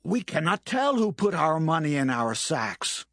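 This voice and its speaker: A man's voice: fairly high pitch, sing-song, measured pace, a bit bright, no echo, clean studio sound, exaggerated voice. An actor playing an anxious brother, pleading his honesty in a dramatised scripture reading. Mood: anxious explaining.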